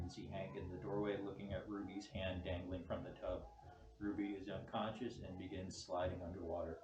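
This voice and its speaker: A man reading out screenplay stage directions as the narrator at a table read. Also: sits low. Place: echoey room at a group table read. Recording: distant mic, echoey noisy room.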